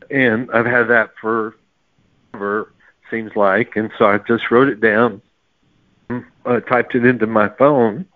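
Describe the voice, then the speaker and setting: A man's voice: on the low side, dry recording. Male interview guest, conversational storyteller, explanatory manner, dry narrowband audio. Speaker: author guest. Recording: narrow phone-like sound, dry.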